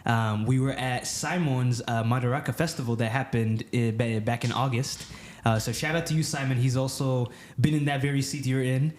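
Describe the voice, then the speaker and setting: A man's voice: medium pitch, fairly even intonation, moderate pace, hardly any pauses, slight background noise. A man hosting a podcast, chatting casually in a friendly, affirming way and often calling his guest "man". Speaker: podcast host. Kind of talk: casual interview chat.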